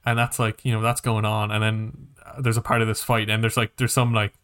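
A man's voice: on the low side, little pitch movement, brisk, fairly bright tone, clean tone. A man co-hosting a podcast in casual film discussion, with a hesitant, rambling way of talking that often restarts phrases. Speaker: podcast co-host.

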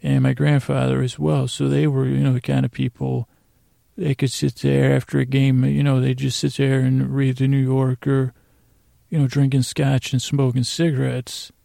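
A man hosting a podcast with a rambling bedtime monologue, meandering, halting and circling back on his own sentences. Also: mid-range voice, completely flat delivery, vocal fry, mellow, no echo, studio quality. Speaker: podcast host.

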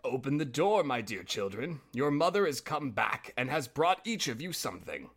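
A man's voice: mid-range voice, very animated, moderate pace, fairly bright tone, husky, exaggerated voice. A man voicing a deceitful, threatening storybook wolf with a coaxing, demanding manner. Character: deceitful, threatening male wolf posing as mother.

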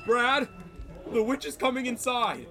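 A man acting in an audio drama as a sneering, cowardly young gang henchman, excitable and whiny. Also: very high voice, measured pace, loud music behind the voice. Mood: suspicious.

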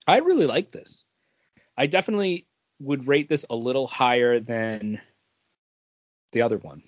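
A man's voice: medium pitch, expressive, normal speed, no room sound. Male podcast host, conversational and rambling, dry room, lo-fi muffled capture. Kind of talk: casual chat about craft beer.

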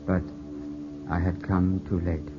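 A man's voice: low voice, little pitch movement, takes it slowly, over music. An actor playing a grieving, suspicious young Englishman in his twenties, clipped and intense, in 1950s radio drama style. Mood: sombre, grieving. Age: 20s. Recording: muffled, scratchy 1950s radio broadcast.

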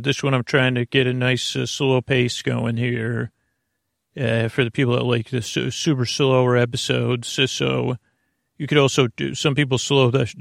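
Guy rambling a sleepy podcast intro, gravelly creaky voice, halting and muttery, really dry close sound. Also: mid-range voice, no room sound. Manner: meandering, halting, muttering delivery. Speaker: podcast host.

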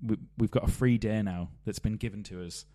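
A man's voice: on the low side, a little fast, warm tone. A man hosting a podcast, rambling through anecdotes and laughing mid-sentence. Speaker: podcast host. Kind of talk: casual chat.

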